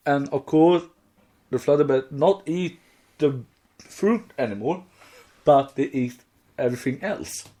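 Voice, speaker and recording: A man with a Swedish accent chatting as a podcast co-host, halting as he searches for words in English. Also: a little high, expressive, mellow. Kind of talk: casual fan podcast chat.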